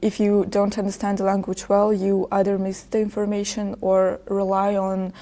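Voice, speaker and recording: Mellow-voiced young woman, thoughtful. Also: medium pitch, completely flat delivery. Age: young adult. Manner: thoughtful, slow with hesitations. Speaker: podcast guest. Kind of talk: casual conversation. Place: podcast studio.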